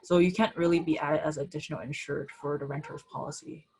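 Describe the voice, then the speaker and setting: A woman's voice: deep voice, fairly even intonation, quick pace, a little mellow. A woman insurance agent answering audience questions in an online webinar over a video call, hesitant, with many ums and uhs.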